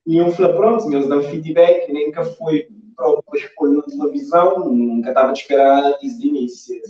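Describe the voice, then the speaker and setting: A man's voice: on the high side, fairly quick, warm tone. A man with a Cape Verdean accent giving casual, hesitant answers as an interview guest. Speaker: interview guest, animation creator. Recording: very echoey, distant, dull sound.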